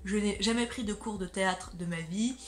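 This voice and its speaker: A woman's voice: mid-range voice, moderate pace. A woman in her twenties chatting casually to camera, talkative and self-deprecating. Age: 20s. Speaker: video creator. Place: small furnished bedroom indoors. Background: faint background music.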